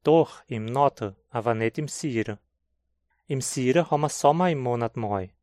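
A man from southern Brazil with a Hunsrik German accent, reading a scripted textbook dialogue in a slow, clear teaching manner. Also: medium pitch, darkish tone, dry recording, clean studio sound. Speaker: language course reader.

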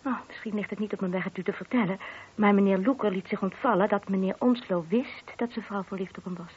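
A woman's voice: mid-range voice, fast, a little mellow, husky. An actress playing a married woman who is a discreet but gossipy witness, hesitant, confiding and a little defensive in a radio play. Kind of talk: Dutch radio drama dialogue. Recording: muffled lo-fi old radio recording.

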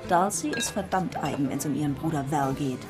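A woman's voice: deep voice, lively intonation, fairly quick, over music. An actress playing a sly woman who answers gossip in a teasing, knowing way. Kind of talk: radio drama acting.

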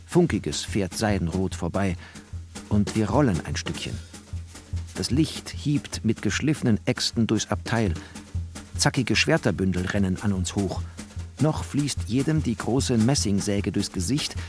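A man playing a bookish, wry refugee narrator in a literary audio drama, speaking in terse, expressive phrases. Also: on the low side, unhurried, over music. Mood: brooding, observant.

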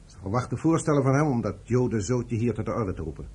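A man's voice: medium pitch, lively intonation, fast, darkish tone, a little hiss or hum, natural voice. A man acting a formal, dutiful British intelligence officer in a Dutch radio play, clipped and deferential. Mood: formal, matter-of-fact.

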